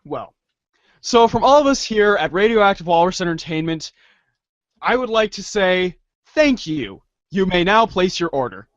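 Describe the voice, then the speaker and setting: A male host signs off an amateur show with playful theatricality. The voice is high for a man, casual in delivery, and captured dry with a slightly dull, lo-fi sound. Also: very animated, a little slow, fairly bright tone, no echo. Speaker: host and cast member. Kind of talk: casual podcast sign-off.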